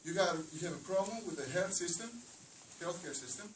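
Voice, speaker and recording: Greek guy speaking up at a council meeting, kinda high voice, picked up from far off across the room. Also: expressive, a little fast. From Greece. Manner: earnest, repeats himself, broken English phrasing. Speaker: resident at a public meeting. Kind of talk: impassioned public comment. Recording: distant, echoey, noisy hall.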